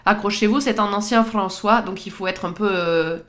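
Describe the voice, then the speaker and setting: A woman's voice: medium pitch, moderate pace, fairly bright tone. A woman co-hosting a podcast, chatting casually through pop-culture references with frequent fillers and easy laughs. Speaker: podcast co-host.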